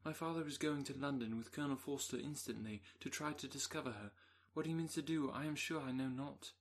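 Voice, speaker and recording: Man reading as a gentle anxious letter-writer. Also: mid-range voice, steady tone, fairly quick, close to an everyday voice. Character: gentle young woman writing an anxious letter.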